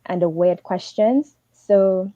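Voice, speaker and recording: A young woman from Trinidad and Tobago, giving fast, earnest advice as a teaching assistant on a livestream. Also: mid-range voice, warm tone, dry recording, muffled recording. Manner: fast, earnest, advising directly.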